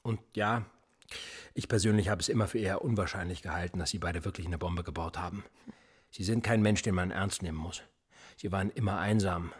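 An actor playing a sardonic, probing police interrogator in a naturalistic radio drama, speaking in a deliberate, controlled way. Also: male voice, low voice, slightly rough. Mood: cold, menacing. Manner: deliberate, probing, dryly ironic.

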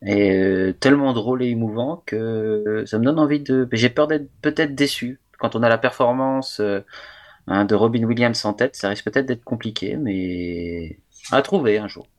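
Measured man reading quiz questions. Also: mid-range voice. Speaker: quiz host. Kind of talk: podcast quiz chat.

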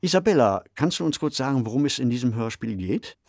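A male host presents in a scripted style with very varied intonation. His mid-pitched voice sounds close and dry. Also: sing-song, fast talker, a little mellow, no room sound. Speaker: podcast host. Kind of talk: scripted presenting with a question to a guest.

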